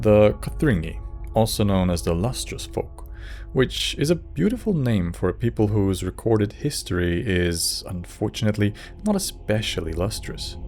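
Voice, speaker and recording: Male video essay narrator, low pitch, scripted but casual, faint music bed. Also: darkish tone.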